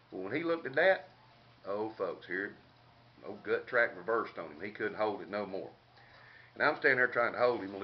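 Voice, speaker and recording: An older man with a Southern US accent tells a casual comic story in a folksy, drawling country way with colorful slang. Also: fairly low pitch. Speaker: comedic storyteller. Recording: dull lo-fi home recording, background noise.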